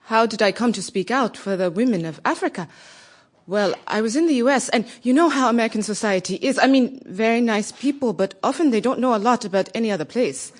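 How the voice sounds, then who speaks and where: An actress playing an outspoken, wry African activist in a conversational radio theatre monologue. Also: female voice, on the high side, lively intonation, a little fast. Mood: wry, amused. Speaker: actress in an audio play.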